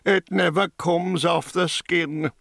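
A man's voice: fairly even intonation, gravelly, fairly bright tone, no room sound, studio quality, exaggerated voice. A man reading an audiobook, voicing a broken, haunted old woman dyer in a strained, raised voice. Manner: slow, strained, rising high pitch. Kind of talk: audiobook character voice.

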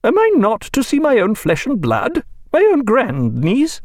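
A man voicing a domineering, meddling older woman who talks in a shrill, overbearing, lecturing way. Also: wildly swooping pitch, unhurried, no echo, clean studio sound, exaggerated voice. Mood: imperious. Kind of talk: audiobook character voice.

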